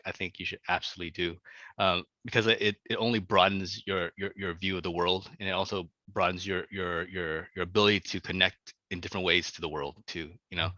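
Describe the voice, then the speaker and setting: A man's voice: low-pitched, bright, forceful tone, dry recording. A man being interviewed as an author on a video call, talking casually, stammering and repeating words as he goes. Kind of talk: casual conversation. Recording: dull lo-fi audio.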